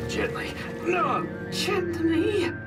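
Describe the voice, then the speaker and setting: Slow, wry, gruff action hero. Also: male voice, very high-pitched, big pitch swings, exaggerated voice. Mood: dry. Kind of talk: video game cutscene voice acting. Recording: distant, loud music behind.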